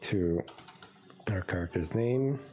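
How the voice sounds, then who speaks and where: Deep-voiced Greek man rambling on stream. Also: lively intonation, slow, mellow, soft background music. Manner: rambling, thinks aloud with many fillers. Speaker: game streamer. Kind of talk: casual live-stream commentary.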